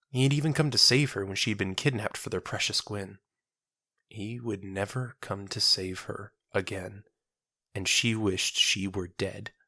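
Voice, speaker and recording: A narrator reading a scripted audio drama in a measured, solemn, dramatic manner. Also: medium pitch, bright, forceful tone, dry recording, studio quality.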